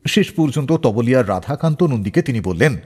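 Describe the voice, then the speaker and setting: A man's voice: mid-range voice, animated, fairly quick, darkish tone, breathy edge. A man hosting a music radio show, narrating scripted stories in a dramatic storyteller's manner. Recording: long reverb, music behind the voice. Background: background music.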